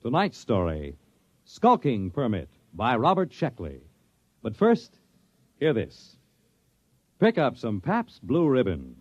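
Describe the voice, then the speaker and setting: A man's voice: mid-range voice, huge pitch swings, takes it slowly, pauses often, darkish tone, slightly rough, dry recording. A man announcing in the dramatic, scripted style of 1950s radio. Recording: muffled old radio broadcast with music.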